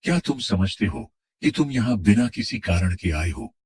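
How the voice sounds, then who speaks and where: Deep, husky, thundering giant god. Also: male voice, big pitch swings, normal speed, darkish tone, heavily acted. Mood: menacing. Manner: commanding, interrogating. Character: huge muscular male god of a cave, stern, menacing. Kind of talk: cartoon story acting.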